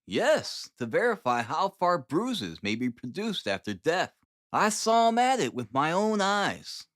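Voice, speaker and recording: A man voicing a chatty, gossipy young male colleague in an audiobook, with an animated, emphatic manner. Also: high-pitched, measured pace, no echo, studio quality, voice pushed up, full character voice. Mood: wary, gossipy. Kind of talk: audiobook character dialogue.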